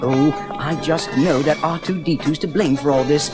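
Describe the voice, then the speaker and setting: A man's voice: fairly high pitch, steady tone, normal speed, loud music behind the voice, lightly acted. A man playing an anxious, fussy, polite protocol droid in an audio drama, speaking in prim, formal phrases. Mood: fretful.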